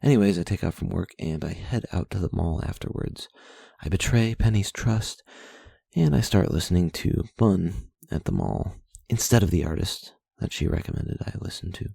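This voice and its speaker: A man's voice: low voice, very animated, moderate pace, creaky, warm tone, husky, no room sound. A young man casually reading his journal aloud late at night, rambling, swearing and putting himself down. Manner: profane, self-deprecating, rambling.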